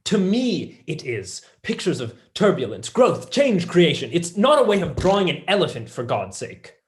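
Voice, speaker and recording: Young guy playing an excitable math nerd in a play reading, kinda high voice, super animated, blunt. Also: moderate pace. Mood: impatient. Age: young adult. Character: passionate young male mathematician, blunt and excitable.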